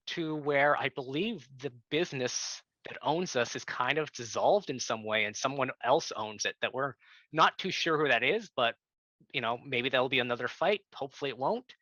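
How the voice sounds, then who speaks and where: A young man from Canada, a tenant organizer, speaking on an online workshop call in an earnest, halting way with many fillers and restarts. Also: a little high, expressive, sharp tone, no echo. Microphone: computer mic on a video call. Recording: dull, slightly lo-fi video call audio.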